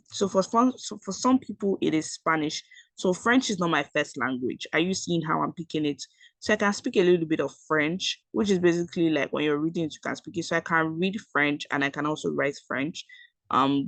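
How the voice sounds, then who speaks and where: A woman's voice: low-pitched, dry recording. A Nigerian woman who speaks Yoruba at home, giving a chatty screen-share tutorial with many fillers and self-corrections. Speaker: education YouTuber.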